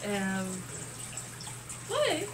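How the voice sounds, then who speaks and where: A woman's voice: mid-range voice, huge pitch swings, very slow. A woman giving a casual, hesitant interview answer. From Ontario, Canada. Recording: distant mic, noisy watery background.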